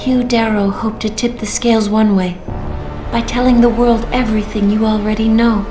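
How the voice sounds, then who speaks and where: An actress voicing a calm female AI newscaster in a video game, smooth and composed. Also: a little high, normal speed, natural voice. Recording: roomy game audio with music.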